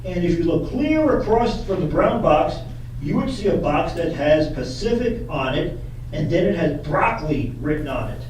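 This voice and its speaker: A man's voice: on the high side, big pitch swings, moderate pace, darkish tone. A man playing a persistent lawyer in a puppet courtroom re-enactment, asking methodical leading questions in an echoey room. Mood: methodical, pressing. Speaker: attorney. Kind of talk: courtroom questioning read from a transcript. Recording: echoey room from far off, faint noise.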